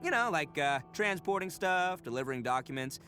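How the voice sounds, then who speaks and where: A brash young oni gang boss from a video game. His voice is bright and punchy, leaping widely in pitch. He boasts in slangy, theatrical bursts, a goofy and cocky delinquent through and through. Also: lively intonation, fairly quick, soft background music. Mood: earnest, confident. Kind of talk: animated video game voice acting.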